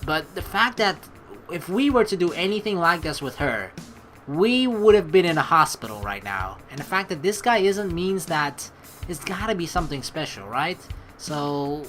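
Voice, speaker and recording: A woman with a slightly low, lively voice hosts a game playthrough. She moves between reading the story aloud and casual, rambling asides, with swooping intonation. Music plays softly behind her. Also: moderate pace. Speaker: let's play host.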